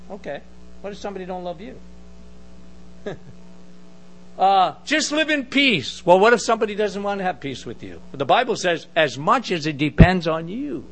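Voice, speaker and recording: A middle-aged man with a big booming voice preaching a sermon, emphatic with conversational asides. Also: rough recording.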